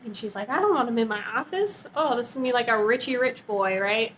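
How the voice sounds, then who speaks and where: A woman's voice: on the high side, lively intonation, quick pace, a bit bright, a little hiss or hum. A woman giving a casual, chatty book review in a bubbly, gushing, informal way.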